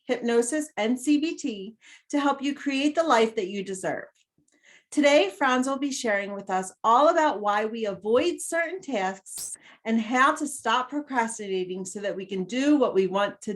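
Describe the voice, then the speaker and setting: Bright-voiced woman hosting a podcast. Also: a little high. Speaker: podcast host, therapist.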